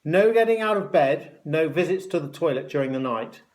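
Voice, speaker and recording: A man voicing a cruel, bossy hospital matron with a sharp, mocking, snapping delivery in a children's story. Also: a little high, lively intonation, moderate pace, lightly acted. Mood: strict, bossy. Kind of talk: children's story read aloud.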